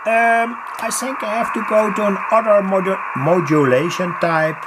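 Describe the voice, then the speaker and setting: A man giving an unscripted hobby radio demonstration, halting and thinking aloud as he works. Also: a little high, gravelly, very noisy.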